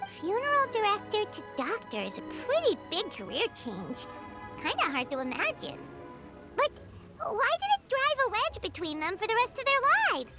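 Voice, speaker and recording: A woman voicing a small childlike fairy companion in a video game, squeaky, perky and inquisitive. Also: huge pitch swings, moderate pace, full character voice. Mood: puzzled. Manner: refers to self in third person, perky, inquisitive. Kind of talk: cartoonish video game voice acting. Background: music.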